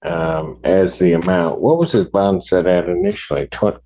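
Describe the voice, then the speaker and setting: A man speaking as a judge, handing down rulings from the bench in a deliberate, halting official way. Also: low-pitched, warm tone. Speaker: judge presiding over a court hearing. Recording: dull lo-fi courtroom audio.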